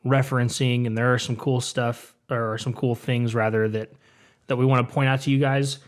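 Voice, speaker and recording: A young adult man co-hosts a comic book podcast in easy, casual chat. His voice sits at a middling pitch, and he keeps things upbeat and chatty, laughing often between points. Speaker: podcast co-host.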